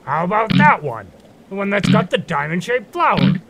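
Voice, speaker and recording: A man's voice: high-pitched, big pitch swings, moderate pace, vocal fry, a bit bright, full character voice. A man playing a laid-back, reluctant but kindly young magician in an audio drama, casual and wry. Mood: easygoing.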